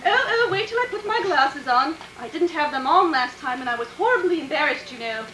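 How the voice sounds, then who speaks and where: A gushing society matron from an old radio comedy, extremely high and swooping in pitch. Her affected, giggly voice rings brightly, a little distant on an echoey stage. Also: expressive, brisk. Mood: flustered, gushing. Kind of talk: 1940s radio comedy acting. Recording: echoey stage from afar, faint noise.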